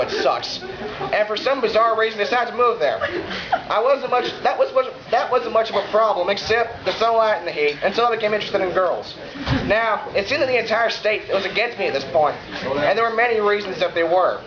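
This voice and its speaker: A man's voice: sits high, expressive, a little fast, a crowd in the background. A young man with a Texan accent giving a comedic prepared speech to a club audience in a large hall, with a drawling, mock-earnest delivery. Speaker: club speaker. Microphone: camera from the room. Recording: roomy, muffled, noisy hall camera recording.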